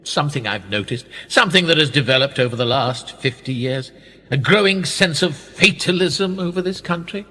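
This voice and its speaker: Actor as an urbane, animated upper-class British man. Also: on the high side, moderate pace, slightly rough, full character voice. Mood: musing, pointed. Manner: urbane, wry, rhetorical, gentlemanly formal speech. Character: wealthy upper-class British businessman, urbane, ironic, self-mocking. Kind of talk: radio play dialogue. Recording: reverberant room with long echo.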